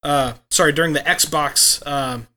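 A man co-hosting a casual podcast, sounding stuffy from allergies and chatting in a relaxed way. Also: on the high side, unhurried. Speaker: podcast co-host. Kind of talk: casual chat.

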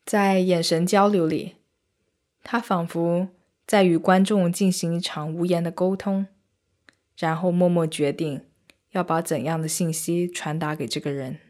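Low-pitched woman narrating an audio journal. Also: fairly even intonation, a little slow, darkish tone. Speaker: podcast host and arts reviewer. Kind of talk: scripted first-person audio narration.

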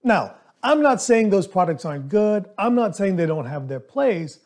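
A man who speaks English as a second language, hosting a solo podcast as a dentist and coaching his listeners in an emphatic, animated way. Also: high-pitched, normal speed. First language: not English.

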